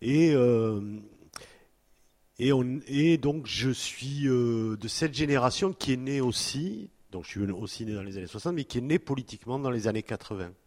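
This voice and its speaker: A man in his fifties from France, speaking at a panel in a halting way with many fillers and restarts. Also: medium pitch, expressive, darkish tone. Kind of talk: hesitant spoken talk at a public panel. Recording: long hall reverb.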